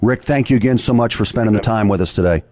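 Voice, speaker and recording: Deep-voiced male radio host. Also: fast talker, a little mellow, no room sound, clean studio sound. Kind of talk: conversational radio interview.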